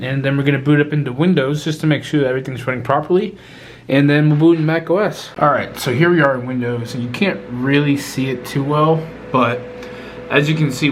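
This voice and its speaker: A man with a stuffy, congested cold, talking casually through a hands-on computer build in a relaxed, chatty way, with frequent ums and self-corrections. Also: mid-range voice, a little hiss or hum, low-quality recording. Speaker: YouTube tech vlogger. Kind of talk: casual hands-on tech vlog narration.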